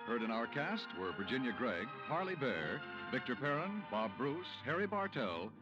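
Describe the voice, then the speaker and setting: A polished American man announces in formal mid-century radio style. His delivery is emphatic, with big swings of pitch. Music plays clearly behind him on a muffled old recording. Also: big pitch swings, normal speed.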